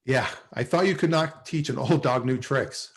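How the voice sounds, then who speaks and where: A man's voice: medium pitch, normal speed, lightly acted. A man playing an awkward, lovelorn car salesman in a naturalistic sitcom table read. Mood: rueful, flustered. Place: indoors, on a video call.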